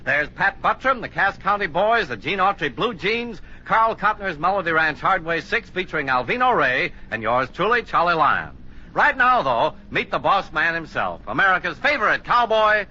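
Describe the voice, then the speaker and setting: A man's voice: high voice, sing-song, moderate pace, pushed and bright, lo-fi sound. A man announcing a scripted 1950s radio show, on an old transcription recording.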